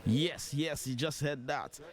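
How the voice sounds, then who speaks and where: A man with a Nigerian accent whose first language is Yoruba, hosting a radio interview and talking quickly with many fillers. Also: a little high, music in the background. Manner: fast, animated, with frequent fillers. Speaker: radio host.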